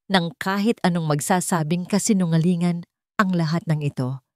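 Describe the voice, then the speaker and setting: A woman's voice: fairly low pitch, a little mellow, dry recording, studio quality. A woman storyteller reading a scripted romantic drama in a dramatic narrating style.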